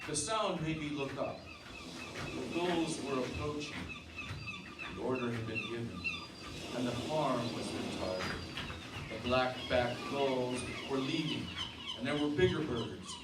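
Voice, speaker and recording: A man's voice: medium pitch, huge pitch swings, slow pace, background noise. A man acting a dogged, frightened husband and farmhand in old-time radio drama style, with a dramatic, urgent delivery. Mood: grave, tense. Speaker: actor in a radio play. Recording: roomy, distant-miked, music underneath.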